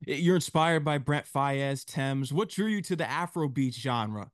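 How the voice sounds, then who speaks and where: A man's voice: mid-range voice, a little slow, no room sound, clean studio sound. A man interviewing a guest casually as a show host, in an encouraging, affirming way.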